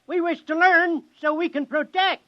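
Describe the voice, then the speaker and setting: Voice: very high voice, steady tone, normal speed, pushed and bright. An actor playing a small, wary creature chief in a 1950s radio drama, speaking earnest, stilted, simple learned English. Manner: stilted, formal, simple phrasing. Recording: dull vintage 1950s radio recording.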